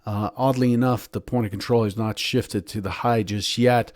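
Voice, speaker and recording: A man, a professional trader and show host, giving daily market analysis in a hoarse voice, clearing his throat often. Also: fairly low pitch, moderate pace, no room sound, studio quality. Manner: steady analytical talk with frequent throat clearing.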